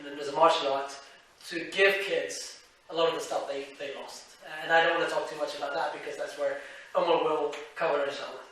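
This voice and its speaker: A man's voice: a little high, bright, forceful tone. A man hosting a public talk, speaking in a loose conversational way with many fillers and restarts as he introduces a guest speaker. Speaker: event host introducing a speaker. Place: lecture hall. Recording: echoey hall, mic from far off.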